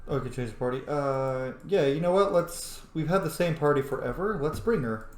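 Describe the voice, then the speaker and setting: A man's voice: on the high side, moderate pace. Profane joking man playing a game. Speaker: gameplay commentator. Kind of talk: casual game commentary. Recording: roomy room with faint noise.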